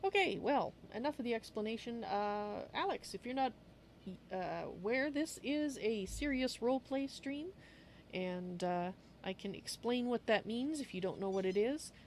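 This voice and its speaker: A woman's voice: fairly high pitch, expressive, normal speed, a little mellow. Woman livestreamer chatting over background noise. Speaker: livestream host. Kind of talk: casual livestream chat.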